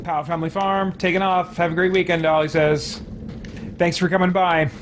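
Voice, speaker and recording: A man's voice: high voice, flat delivery, fairly quick, noisy background. A man chatting casually as the host of a gaming livestream. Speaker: livestream host playing a game.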